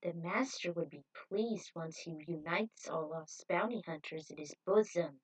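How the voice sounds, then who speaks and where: A woman's voice: lowish voice, measured pace, slightly rough, recorded from a distance, voice pushed down, low-quality recording. A woman voicing an easygoing, brainwashed bounty hunter in a story reading, carefree and placid. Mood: coaxing.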